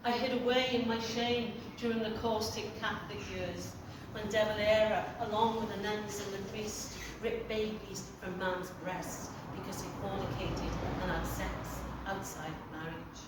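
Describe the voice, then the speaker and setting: Woman, impassioned poetry recitation, far from the mic, strong echo, clear background noise. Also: medium pitch, steady tone, unhurried. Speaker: poet. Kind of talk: poetry reading. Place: outdoors outside a cafe.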